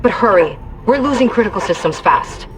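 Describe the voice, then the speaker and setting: A woman's voice: medium pitch, animated, brisk, a little hiss or hum, close to an everyday voice. An actress playing a tense, wary female computer specialist in a sci-fi video game. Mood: urgent, wary. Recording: lo-fi radio transmission.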